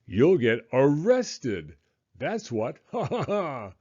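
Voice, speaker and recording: A man voicing a blustering, self-pleased storybook gentleman who shouts emphatically. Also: mid-range voice, huge pitch swings, slow, husky, dull audio, voice pushed up, heavily acted. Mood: gloating. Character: blustering, triumphant adult man, pleased with himself.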